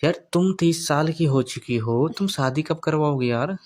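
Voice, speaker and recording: A man's voice: fairly high pitch, moderate pace, darkish tone. A middle-aged man in a casual personal call, talking in a teasing way and often repeating his phrases.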